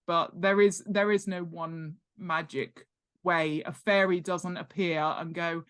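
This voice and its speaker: Low-pitched woman, chatty interview guest. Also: steady tone, takes it slowly, a bit bright, smooth. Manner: chatty, self-deprecating, humorous. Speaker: guest author and world-building expert. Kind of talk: casual interview chat.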